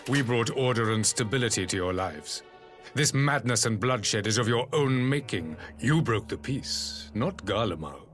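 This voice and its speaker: An actor playing a cold, haughty emperor who speaks in formal, stately oratory in a game cutscene. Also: male voice, medium pitch, lively intonation, moderate pace, over music, natural voice. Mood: stern rebuke. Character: imperious adult male emperor, cold, haughty, condescending.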